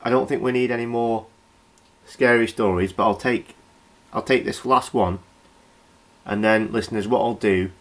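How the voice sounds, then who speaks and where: A man plays a nervous British local radio host in a comedy horror radio play. His voice sits fairly low, and he stumbles and rambles apologetically as he tries to keep control. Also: fairly even intonation, moderate pace, long pauses, close to an everyday voice. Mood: nervously chatty. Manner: hesitant, rambling, stammering.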